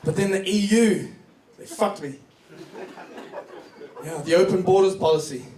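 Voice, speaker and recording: A man's voice: sits high, measured pace. A man with a New Zealand accent performing a stand-up comedy set, telling joking stories with plenty of swearing. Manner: profane, joking storytelling. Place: comedy club stage before a live audience. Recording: distant echoey club recording, noisy laughing crowd.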